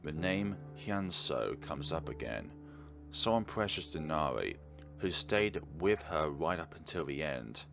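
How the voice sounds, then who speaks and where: Low, gravelly man reading game dialogue dramatically. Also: sing-song, measured pace, music in the background. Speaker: video game playthrough host. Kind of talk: dramatic read-aloud of game text with his own commentary.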